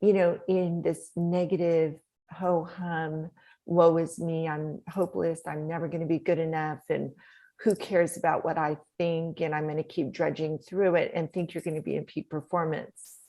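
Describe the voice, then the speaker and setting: A middle-aged woman with a soft, slightly low voice speaks as a guest on an interview show. She talks in an animated, encouraging coaching manner and laughs easily. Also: moderate pace. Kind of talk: podcast interview talk.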